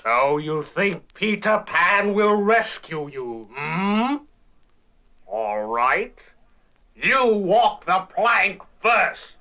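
Storybook pirate villain: high, very slow, sneering and grandly theatrical. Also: male voice, big pitch swings, bright, forceful tone, full character voice. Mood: sneering, menacing. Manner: sneering, theatrical, drawn-out menace. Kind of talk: children's read-along storybook acting.

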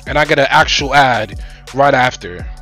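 A man's voice: mid-range voice, animated, moderate pace, bright, forceful tone, faint music behind. A young man hosting a reaction video, commenting casually from his room. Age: young adult. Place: bedroom streaming setup indoors.

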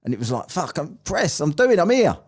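A man's voice: fairly high pitch, sing-song, fairly quick, slightly rough. A British man in his forties chatting casually as a podcast host, rambling and sweary, close on a mic. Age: 40s. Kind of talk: casual chat. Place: small room at home. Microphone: close studio mic on an arm.

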